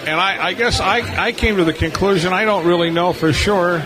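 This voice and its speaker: A man's voice: high-pitched, fairly quick. A middle-aged or older man hosting a casual live radio interview, chatting and reminiscing. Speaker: radio show host. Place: convention hall booth. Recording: noisy live event floor broadcast. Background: busy convention floor noise.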